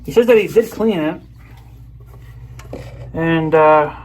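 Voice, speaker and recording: A man's voice: fairly high pitch, slow pace. A man chatting casually to viewers as he shows off an item, rambling and often trailing off mid-sentence. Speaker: video creator. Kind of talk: casual unboxing chat to viewers. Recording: clear background noise.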